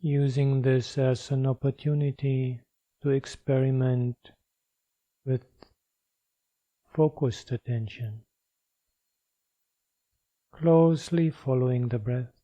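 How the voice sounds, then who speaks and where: A man leading a guided meditation as a teacher, speaking in a measured, instructional way with long pauses. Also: medium pitch, steady tone, mellow, highs cut off.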